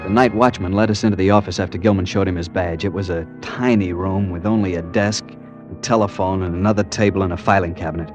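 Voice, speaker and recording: A man's voice: low-pitched, steady tone, fast talker, muffled recording, close to an everyday voice. An actor playing an anxious, insistent young man searching for his missing girlfriend, in old-time radio drama style. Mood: tense narrating. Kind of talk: old-time radio drama acting and first-person narration. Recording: vintage radio drama with background music.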